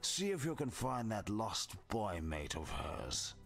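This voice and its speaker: A man's voice: on the low side, big pitch swings, takes it slowly, gravelly, a bit bright, full character voice. An actor playing a bitter, disfigured outcast in a video game, sardonic and florid in his wording. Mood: grudging, weary. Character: bitter, disfigured male outcast with reluctant soft spot. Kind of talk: scripted game character dialogue. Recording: music behind the voice.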